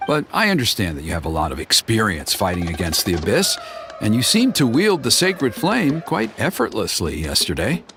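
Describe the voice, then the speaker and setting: A slick flattering village elder, voice swoops up and down, game music behind. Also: male voice, on the low side, normal speed. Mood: smooth. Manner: suave, flattering, persuasive. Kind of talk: game voice acting.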